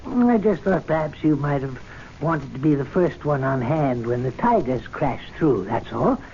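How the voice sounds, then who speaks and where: A faintly hissy old radio drama recording carries an elderly steward. His voice is lightish and moves about a lot in pitch. He is polite on the surface and quietly insolent beneath. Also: animated, moderate pace. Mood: slyly deferential. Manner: polite, dry, insinuating. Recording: dull lo-fi radio recording with hiss.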